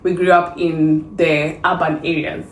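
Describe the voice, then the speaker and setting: A young Kenyan woman co-hosting a podcast, relaxed and playful, joking as she talks. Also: sits low, unhurried. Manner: relaxed, playful, laughs and jokes. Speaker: podcast co-host. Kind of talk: casual chat. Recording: roomy with faint background hiss.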